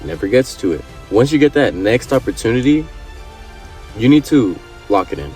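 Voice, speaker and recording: A man's voice: medium pitch, very animated, moderate pace, darkish tone, faint music behind. Earnest young man urging viewers on. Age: 20s. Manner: earnest, repetitive urging, swears casually. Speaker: vlogger. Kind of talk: motivational casual talk to camera.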